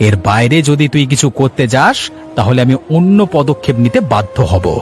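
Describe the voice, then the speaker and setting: A man's voice: medium pitch, big pitch swings, fairly quick, soft background music, lightly acted. Man voicing a stern, bullying village policeman. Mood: threatening. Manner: curt, threatening, domineering. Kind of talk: Bengali cartoon story acting.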